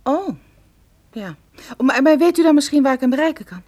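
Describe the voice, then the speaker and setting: An actress playing a detective's practical, gently fussing female companion in a radio play, in a conversational manner. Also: high voice, lively intonation, fairly quick. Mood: polite insistence.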